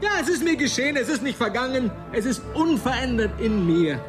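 A man's voice: extremely high pitch, lively intonation, fairly quick, music in the background. An actor playing a troubled, bewildered grown man who searches ponderously for his formal words in a German radio drama. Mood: agitated, anguished.

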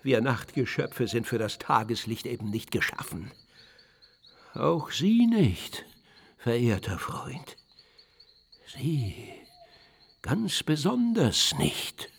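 An actor playing a pompous old eagle owl in a children's radio play, formal and theatrically aggrieved. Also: male voice, mid-range voice, big pitch swings, takes it slowly, vocal fry, long pauses, slightly rough, exaggerated voice. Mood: weary, grave.

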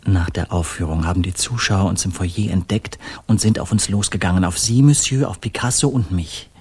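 A husky, fairly low-voiced poet of the Paris avant-garde in a radio drama. He speaks emphatically and in flowery, indignant flourishes, with a breathy edge. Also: normal speed, darkish tone. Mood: relishing, dramatic. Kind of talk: German radio drama acting.

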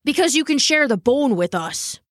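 A woman's voice: high voice, big pitch swings, normal speed, a bit bright, no room sound, studio quality, voice pushed up, full character voice. A woman voicing a humble, earnest old male dog in a storybook, speaking hesitantly and sincerely. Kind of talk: storybook character voice.

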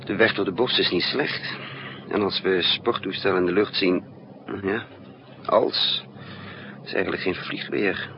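A man's voice: low-pitched, steady tone, unhurried, vocal fry, long pauses. An actor playing a resourceful male spy in a 1960s Dutch radio drama, thinking aloud in hesitant, broken phrases. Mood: urgent planning. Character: resourceful male spy narrating his own risky mission. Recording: muffled, noisy 1960s radio recording.

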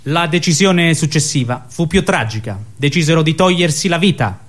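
Man, radio sketch narrator, mock-solemn storytelling, highish pitch, animated melody. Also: moderate pace.

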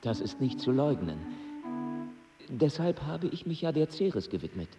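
An actor playing a proud, blunt young mathematician and astronomer in a radio drama. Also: male voice, a little high, big pitch swings, measured pace. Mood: blunt, impatient. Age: young adult. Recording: echoey hall, distant, music behind.

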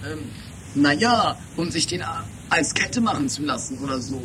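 Fussy know-it-all fiancé, played by a man, lively swings in pitch, nagging and hesitant, trash radio comedy with audible noise. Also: normal speed, lightly acted. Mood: fussy, exasperated. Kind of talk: improvised 1980s trash comedy radio play. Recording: noisy lo-fi 1980s amateur radio recording.

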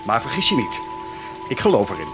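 An actor playing a devout, anguished parish priest in an earnest, pleading radio drama manner. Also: male voice, mid-range voice, sing-song, brisk, over music, lightly acted. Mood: fervent, anguished. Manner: earnest, pleading, fervent declamation. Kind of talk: theatrical radio drama acting.

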